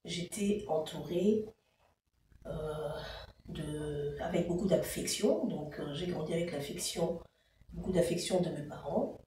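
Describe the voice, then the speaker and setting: Slow, hesitant Congolese woman in an echoey room. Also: sits low, warm tone, a little hiss or hum. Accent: Congolese French. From Democratic Republic of the Congo. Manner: hesitant, frequent "euh" fillers. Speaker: show creator, interviewee. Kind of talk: slow, measured personal storytelling. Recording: distant, echoey room with faint ambience.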